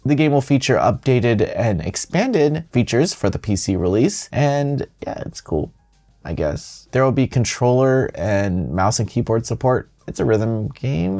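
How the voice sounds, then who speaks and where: A man's voice: medium pitch, dark tone. A man hosting a gaming news show, reading scripted headlines with casual, playful and self-deprecating asides. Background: music.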